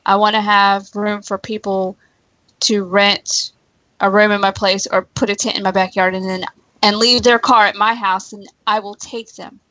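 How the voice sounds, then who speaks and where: A woman's voice: medium pitch, flat delivery, normal speed, pushed and bright, no room sound, dull audio. A woman in casual conversation on a talk show, giving her opinions in an informal, emphatic way.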